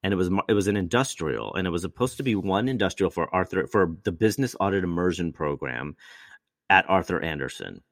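A man's voice: deep voice, expressive, brisk, dry recording, clean studio sound. A male actor telling long, rambling stories in casual chat, often restarting and quoting himself. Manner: rambling, many restarts and quoted asides.

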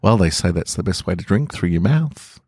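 A man's voice: deep voice, animated, moderate pace, a little mellow, slightly rough, no echo, clean studio sound. A man voicing a friendly, confident teenage girl who talks in a teasing, easygoing way.

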